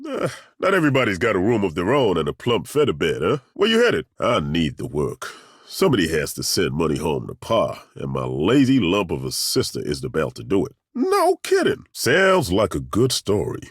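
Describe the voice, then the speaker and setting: An actor playing a burly, good-humoured ageing masseur turned adventurer in a video game, with a husky voice and a folksy, self-deprecating, joking way of talking. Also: male voice, low-pitched, wildly swooping pitch, no room sound, clean studio sound, full character voice. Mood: wry, bantering. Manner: folksy, joking, chuckling, drawn-out hesitations. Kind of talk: video game character dialogue.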